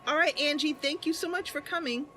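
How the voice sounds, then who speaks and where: A woman's voice: high-pitched, fairly quick, sharp tone, slight background noise. A woman hosting and narrating a game roleplay, chatting casually while ill and tired. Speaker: channel host and game player.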